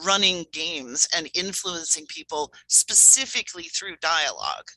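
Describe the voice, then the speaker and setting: Woman on a panel, super bright sharp voice, lowish, dry sound, just talking. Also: lively intonation, a little slow, no room sound, rough recording. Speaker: panelist, game runner and writer. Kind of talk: panel discussion, conversational.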